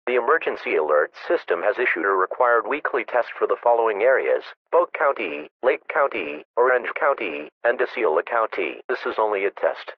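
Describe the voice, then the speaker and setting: A man reading a scripted emergency broadcast announcement in a formal, measured, impersonal manner. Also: fairly low pitch, big pitch swings, no echo, phone-quality sound.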